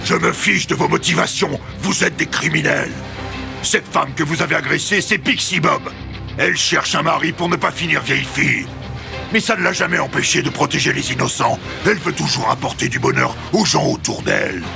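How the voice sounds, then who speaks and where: Gravelly, indignant young cartoon hero. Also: male voice, fairly high pitch, moderate pace, over music. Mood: indignant, defiant. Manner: earnest, emphatic. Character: indignant, protective male hero defending his comrade. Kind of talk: French-dubbed anime acting.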